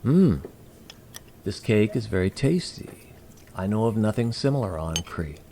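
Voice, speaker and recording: A man playing a polite, curious alien emissary in an audio drama, with a gravelly voice and formal, measured, precise speech. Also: on the low side, animated, dark tone, a little hiss or hum.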